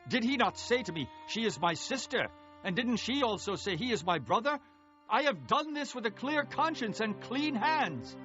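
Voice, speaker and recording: A man's voice: extremely high pitch, sing-song, normal speed, bright, forceful tone, highs cut off, faint music behind, exaggerated voice. A man playing an indignant, agitated ancient king protesting his innocence in a Bible audio drama.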